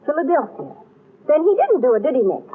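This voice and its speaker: Clever young wife, high voice, playful, arch, animated, old radio drama, thin band-limited sound. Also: female voice, a little fast. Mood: bright, teasing. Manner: teasing, arch banter. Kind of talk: 1930s-40s radio drama acting. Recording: tinny, noisy old radio recording.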